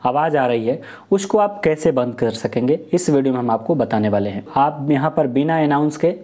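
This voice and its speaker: Young man, soft dark timbre, explanatory tutorial talk, repeats and rephrases, lapel mic indoors. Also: mid-range voice, expressive, normal speed. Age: young adult. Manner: explanatory, repetitive, rephrasing points. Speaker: tech tutorial presenter. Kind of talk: step-by-step tutorial talk to camera. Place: small room indoors.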